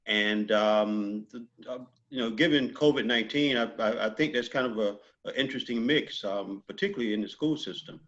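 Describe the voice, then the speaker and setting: A man hosting an interview who asks long, halting questions full of restarts. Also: fairly low pitch, fairly bright tone, muffled recording. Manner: halting, with many restarts and fillers. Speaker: interview host.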